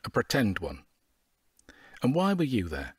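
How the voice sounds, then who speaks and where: A brisk, probing male detective inspector in an audio drama. His husky voice moves up and down a lot as he questions with dry scepticism. The sound is close and dry. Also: mid-range voice, wildly swooping pitch, dry recording, exaggerated voice.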